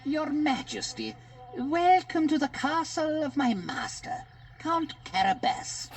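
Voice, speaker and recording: High-pitched, ceremonious storybook cat. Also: male voice, sing-song, slow, exaggerated voice. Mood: grand, welcoming. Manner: grandly deferential, ceremonious. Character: clever male cat, grand courtly servant. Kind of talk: children's fairy tale audiobook.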